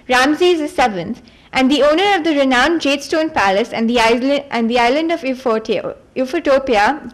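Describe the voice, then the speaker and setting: High-pitched woman reading a story aloud. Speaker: reader at a book event. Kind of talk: reading a fantasy novel aloud.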